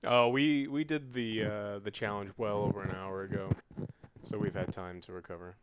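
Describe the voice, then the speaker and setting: A man with a fairly low voice chats casually as a player in a tabletop game. There is clear background noise behind him. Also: steady tone, moderate pace. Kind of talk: casual chat.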